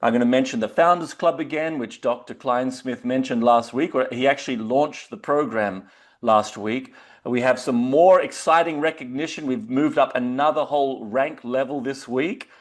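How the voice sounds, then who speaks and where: A man's voice: medium pitch, normal speed. Australian man hosting a webinar. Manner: loose, hesitant with frequent uh fillers. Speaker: webinar host. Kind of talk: casual presentation.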